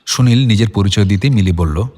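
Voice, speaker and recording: Low-voiced male story narrator. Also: a little fast, warm tone. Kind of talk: scripted audio-story narration.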